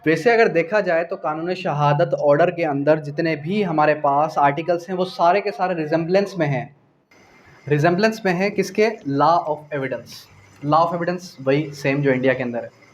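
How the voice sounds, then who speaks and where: A Pakistani man teaches law in a slightly high voice. He explains point by point, often repeating a phrase and posing a question before answering it. English legal terms are mixed into his speech, and faint noise runs through the recording. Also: normal speed. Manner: didactic, repeats phrases and asks rhetorical questions. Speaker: law lecturer. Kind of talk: exam-prep lecture, explaining point by point.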